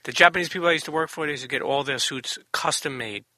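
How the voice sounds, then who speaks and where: Bright, forceful New man. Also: on the high side, quick pace, no echo. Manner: blunt, profane. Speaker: podcast host. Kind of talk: casual chat.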